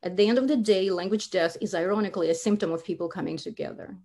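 Earnest woman, halting. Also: mid-range voice, mellow. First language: Russian. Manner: earnest, halting, with many restarts. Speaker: linguistics speaker. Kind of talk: academic talk over slides.

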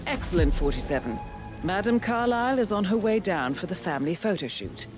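An actress playing a composed female handler, guiding an assassin in a measured, professional way in a video game. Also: lowish voice, animated, music in the background, close to an everyday voice. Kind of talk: video game mission briefing.

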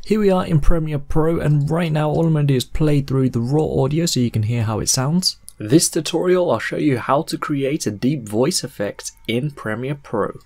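A man in his twenties narrating a step-by-step software tutorial in a casual, instructional way. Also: on the high side, moderate pace, few pauses, soft background music. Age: 20s. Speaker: host of video editing tutorials.

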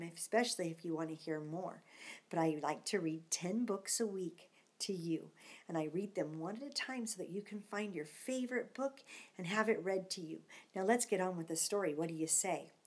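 A woman's voice: mid-range voice, breathy edge. A middle-aged woman reading a storybook expressively to children, with simple chatty asides and questions. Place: living room at home.

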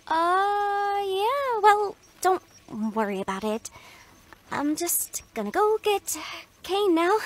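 Very high-pitched anxious young jester. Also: female voice, animated, heavily acted. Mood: flustered, hesitant. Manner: halting, stammering, flustered. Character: anxious young female jester, timid newcomer. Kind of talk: animated series voice acting.

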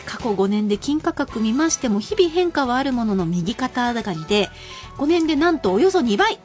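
Woman, high-pitched, animated intonation, radio shopping presenter, emphatic sales delivery, music bed. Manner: emphatic, slowing to spell out prices and numbers.

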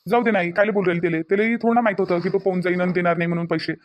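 A man plays an older brother in a cartoon who tries to calm everyone down and reason things out. He speaks in a fairly high voice at a very quick clip, with a Varhadi Marathi accent. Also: dull audio, natural voice. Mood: soothing. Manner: soothing, reasoning.

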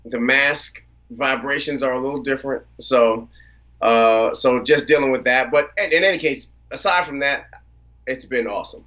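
A man in his thirties talks over a video call, relaxed and chatty, easily slipping into laughter as he tells his stories. His voice sits in a middle range, and the call audio sounds a little dull and lo-fi. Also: medium pitch, moderate pace. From United States, Washington DC area. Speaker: guest rapper. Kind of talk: casual interview on a video call. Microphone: video call from a phone or laptop.

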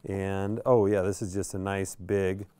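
A man's voice: low-pitched, flat delivery, unhurried, mellow, a little hiss or hum. A middle-aged American man presenting a product in a casual, conversational way, thinking aloud as he goes. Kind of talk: casual unboxing commentary. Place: indoor radio workshop.

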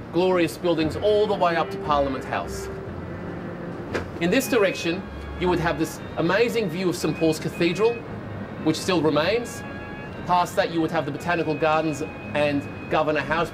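A man's voice: fairly high pitch, rough recording. A man in his forties with an Australian accent, leading a heritage building tour outdoors on a rooftop and telling its stories with enthusiasm. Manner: storytelling, enthusiastic, lists places in rhythmic runs. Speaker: tour guide. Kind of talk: guided heritage tour talk. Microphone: lapel.

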